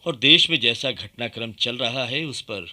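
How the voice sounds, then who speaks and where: An actor playing an earnest, indignant Indian patriot under colonial rule, speaking emphatically in a radio drama. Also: male voice, medium pitch, normal speed, bright, forceful tone. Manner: emphatic, theatrical.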